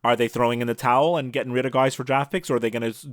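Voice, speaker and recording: A man's voice: medium pitch, steady tone, racing, no echo. A man co-hosting a casual sports podcast, chatting on a close mic over a video call.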